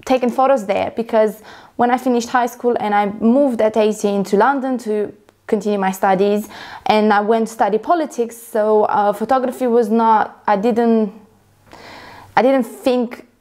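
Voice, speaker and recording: A woman's voice: fairly high pitch, steady tone, moderate pace, dark tone. A young woman from Romania, a photographer vlogger, talking casually to camera and rambling with many fillers and self-corrections.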